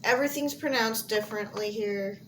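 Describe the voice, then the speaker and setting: A woman's voice: fairly high pitch, moderate pace, poor audio. A young American woman from Montana giving candid, informal answers as an interviewee, thinking aloud as she goes. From Montana, United States. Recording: clear background noise, amateur audio log.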